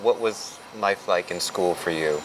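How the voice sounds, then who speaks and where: A man's voice: sits low, measured pace, noisy background. A man hosting a travel channel, upbeat and friendly as he introduces and interviews a guest. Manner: upbeat, friendly, laughs often. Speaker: host. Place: hostel.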